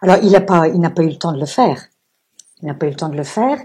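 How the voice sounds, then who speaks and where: A woman with a husky voice giving an unscripted talk as a guest speaker, earnestly explaining with anecdotes and asides. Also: sits low, lively intonation, fast talker, warm tone. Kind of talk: public lecture discussion, unscripted.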